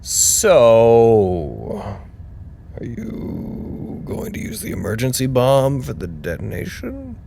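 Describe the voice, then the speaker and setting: Concerned male colleague, audio drama, animated intonation, mid pitch, wry, questioning, some noise. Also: takes it slowly, gravelly, exaggerated voice.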